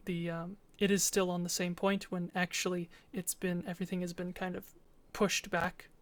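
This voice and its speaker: A woman's voice: on the low side, level tone, normal speed, dry recording. A woman giving an informal, matter-of-fact presentation as a student, explaining and narrating a game demo.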